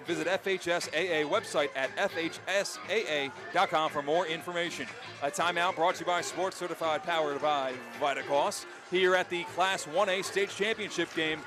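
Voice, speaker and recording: A man calling live high school football as a radio play-by-play announcer, projecting with emphatic, excitable delivery. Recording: stadium crowd noise behind broadcast commentary. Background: loud outdoor stadium crowd and ambience.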